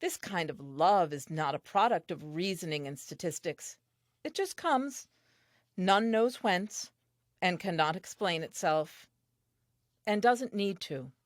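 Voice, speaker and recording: A woman's voice: lowish voice, sing-song, takes it slowly, dry recording, studio quality. An actress playing a tender, wondering first woman reading her diary in an audio play, reflective and earnest. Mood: tender, reasoning.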